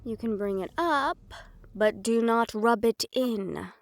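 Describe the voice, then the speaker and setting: A woman's voice: on the high side, takes it slowly, no room sound. Casting: female actor as composed, nervy right-hand woman to a crime boss, intimate audio drama delivery. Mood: firm, cautioning. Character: poised, anxious female right-hand assistant to mafia boss. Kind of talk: intimate audio roleplay acting.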